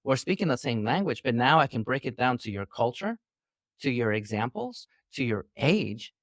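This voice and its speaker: A man's voice: mid-range voice, very animated, normal speed, a bit bright, no echo, clean studio sound. Teacherly man on a video call. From New York City. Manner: earnest, teacherly, long-winded. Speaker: physician guest. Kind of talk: explaining interview answers. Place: indoors.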